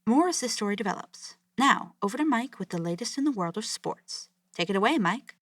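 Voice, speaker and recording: A woman's voice: on the high side, animated, fairly quick, a bit bright, no room sound. A woman playing a grave, formal news anchor delivering urgent bulletins in an audio drama. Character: grave female news anchor reporting a city's collapse.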